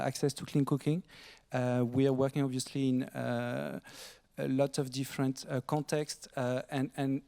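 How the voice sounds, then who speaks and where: Guy asking a question from the floor, monotone, lots of uh, big echoey hall. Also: mid-range voice, gravelly. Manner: hesitant, many "uh" fillers. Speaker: audience member asking a question. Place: conference hall. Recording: long hall reverb.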